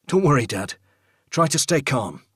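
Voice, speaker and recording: A man voicing a frightened adult son trapped in the dark, urgent and trying to keep control in an audiobook story. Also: medium pitch, big pitch swings, normal speed, husky, dry recording, studio quality, pitched above the performer's own voice. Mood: urgent, reassuring. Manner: urgent, panicked, trying to reassure.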